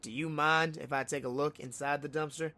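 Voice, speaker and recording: A man's voice: fairly high pitch, steady tone, fairly quick, bright, forceful tone, no echo. A man playing an awed, bumbling junior police officer in an audio drama, speaking hesitantly. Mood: curious, awed. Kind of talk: naturalistic audio drama acting.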